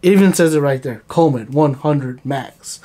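A man's voice: a little high, moderate pace. A man in his thirties, talking casually to camera about his fishing gear. He keeps checking in with "okay?", recorded in a plain indoor studio. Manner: relaxed, chatty, often checks in with "okay?". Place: plain indoor studio against a grey wall.

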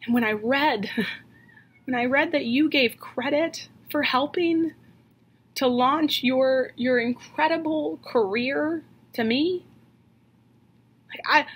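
Woman, thirties, pastor, slow earnest sermon, webcam at home, higher voice. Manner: earnest, questioning, slows for emphasis. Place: home office. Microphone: webcam at desk.

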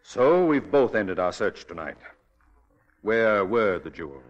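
An actor playing a calm, courteous, dryly ironic detective in a 1940s radio drama. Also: male voice, lowish voice. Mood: cool confrontation. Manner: measured, courteous, dry. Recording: muffled 1940s radio broadcast recording.

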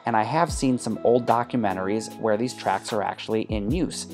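A man from Detroit with an American accent, narrating an exploration vlog in a measured, explanatory way. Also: on the low side, music in the background. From Detroit, United States. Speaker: urban exploration video host.

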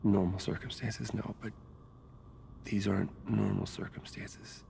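An actor playing a weary, awkward middle-aged American scientist in a cinematic game, hesitant and skeptical. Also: male voice, fairly low pitch, flat delivery, measured pace, creaky, warm tone, a little hiss or hum. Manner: hesitant, mumbling, stammering. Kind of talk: cinematic video game acting.

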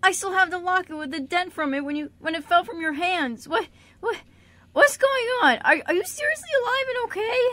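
Radio drama role: intense, devoted young man female performer, high-pitched, halting delivery. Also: expressive, bright, forceful tone, dry recording, full character voice. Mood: angry disbelief. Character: emotional, devoted young man, grieving friend. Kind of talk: amateur radio drama acting.

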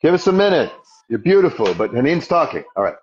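A man's voice: fairly high pitch, very animated, quick pace. A man in his forties hosting a casual live chat from home while cooking, rambling through his questions. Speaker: host. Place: home room with kitchen. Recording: dull lo-fi livestream audio.

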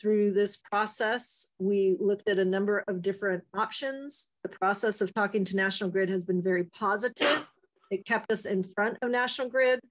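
A woman presenting a committee update to a town board over a video call, speaking in a clear, explanatory and upbeat way. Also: mid-range voice, fairly even intonation, moderate pace.

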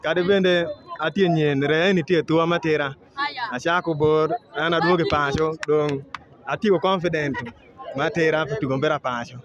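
Calm young goalkeeper, crowd behind. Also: male voice, a little high, normal speed. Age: young adult. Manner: calm, hesitant, starts answers with "mm". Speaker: goalkeeper, interviewee. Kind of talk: post-match interview answers. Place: outdoor football field among a large crowd. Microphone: handheld mic held by interviewer. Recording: slightly dull, crowd noise outdoors.

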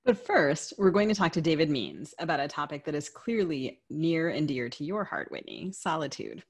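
A woman's voice: low-pitched, big pitch swings, moderate pace, vocal fry, breathy edge. A woman co-hosting a podcast, moving between conversation and scripted introductions. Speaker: podcast co-host.

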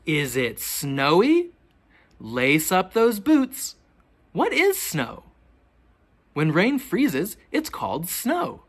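A teacher reading a children's picture book aloud, with expressive, deliberate questions pitched to young children. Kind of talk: children's storybook read-aloud.

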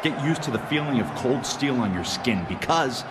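A man's voice: medium pitch, animated, normal speed, close to an everyday voice. An actor playing a cocky, theatrical champion wrestler in a video game, talking in slangy showman style. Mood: menacing. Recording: noisy arena ambience behind voice.

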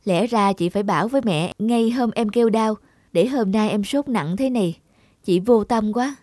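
A woman playing a caring young older sister in a cartoon, speaking in a gentle, coaxing manner. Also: medium pitch, normal speed, natural voice. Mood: remorseful. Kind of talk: cartoon voice acting.

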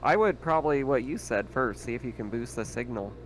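A man's voice: mid-range voice, fairly quick, slight background noise, poor audio. A man playing a tabletop roleplaying game, talking casually with the group.

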